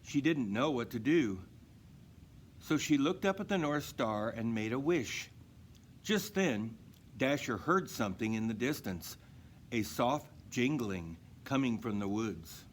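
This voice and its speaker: A man reading a children's story aloud in an expressive narrating style. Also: mid-range voice, a little slow, slight background noise. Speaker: storybook reader.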